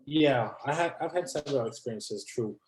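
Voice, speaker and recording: A man in his thirties speaks English as a second language, picking his words with pauses, fillers and restarts. His voice sits at an ordinary middle pitch, and it carries a noticeable echo from the room around him. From France. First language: not English. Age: 30s. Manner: hesitant, many restarts and fillers. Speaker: guest. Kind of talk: conversational interview.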